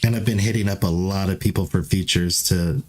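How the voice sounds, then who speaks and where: A man's voice: sits low, fairly even intonation, fairly quick, faint music behind. A man talking casually on a live stream, rambling with many fillers and restarts. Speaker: livestreamer.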